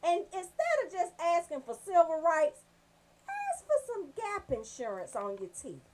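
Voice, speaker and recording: Female radio host. High and highly animated, brash, sweary comic storytelling. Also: a little slow, poor audio.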